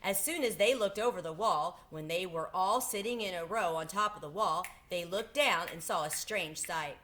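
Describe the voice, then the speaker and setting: Bright-voiced woman reading a storybook aloud. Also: on the low side, normal speed, a little hiss or hum. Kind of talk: children's storybook read-aloud.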